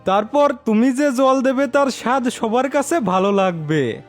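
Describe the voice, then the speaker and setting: Slow, high-voiced scheming villain played by a man. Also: lively intonation, faint music behind. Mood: gloating, bossy. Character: scheming villain man, bossy, gloating, menacing. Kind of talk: cartoon fairy-tale voice acting.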